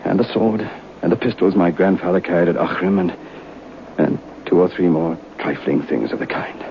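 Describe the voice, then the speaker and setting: A ruined gentleman master, gloomy and reckless, speaks in a low, brooding theatrical voice. The sound is a muffled vintage radio recording with clear background noise. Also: moderate pace. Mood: despairing. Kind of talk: old-time radio drama acting.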